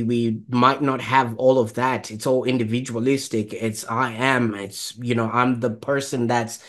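A man's voice: mid-range voice, fairly bright tone. Thirties male host, video call from a home study, affirming, long-winded questions. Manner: affirming, rambling questions with many "you know"s.

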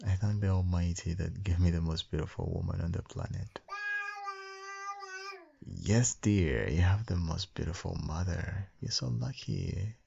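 Very deep, husky, creaky-voiced man. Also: dull audio, a little hiss or hum. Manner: tender, doting, slow, murmured with soft hums. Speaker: ASMR roleplay performer playing a loving husband and father. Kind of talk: boyfriend ASMR roleplay, soft intimate acting.

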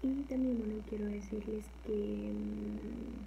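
A young Mexican woman with a Mexican accent chatting casually for her ASMR channel, rambling softly and often slipping into a whisper in a small home kitchen. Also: medium pitch, fairly even intonation, slow, vocal fry, highs cut off, noisy background. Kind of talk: casual soft-spoken chat.

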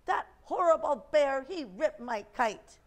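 Woman playing an indignant little girl, high-pitched. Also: lively intonation, unhurried. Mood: indignant, upset. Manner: shouting, indignant. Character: furious, stomping little girl with a torn kite.

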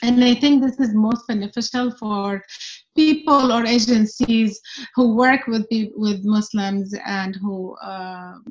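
A woman's voice: fairly high pitch, fairly even intonation, takes it slowly, a little hiss or hum. Earnest woman on a call. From Syria. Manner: earnest, many fillers and restarts. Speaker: guest. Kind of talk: video call interview. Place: room at home. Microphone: video call. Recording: dull lo-fi video call audio.

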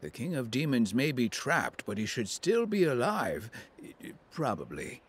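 An actor playing a small, kindly, loyal old demon in a video game, earnest and considerate. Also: male voice, mid-range voice, sing-song, a little slow, breathy edge. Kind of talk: cartoonish video game acting.

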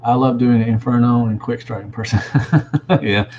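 A man's voice: mid-range voice, lo-fi sound, slight background noise. A man in his thirties with a Southern drawl, chatting casually on a livestream from a room at home on a headset, rambling and saying "man" a lot. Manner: relaxed, rambling, drawn-out fillers, says "man" a lot. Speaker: toy reviewer answering live chat. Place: small room at home, indoors. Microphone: gaming headset.

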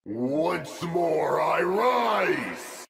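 An actor playing an anguished undying warrior in a video game, pleading and crying out in drawn-out shouts. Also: male voice, on the high side, lively intonation, creaky, heavy background noise, exaggerated voice. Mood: defiant roaring. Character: anguished undying warrior begging for death, then roaring back to life.

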